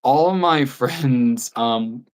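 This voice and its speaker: A 24-year-old livestreamer talking in a casual, candid and rambling way, with soft laughs here and there. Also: medium pitch, drawn-out words. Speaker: YouTube livestreamer.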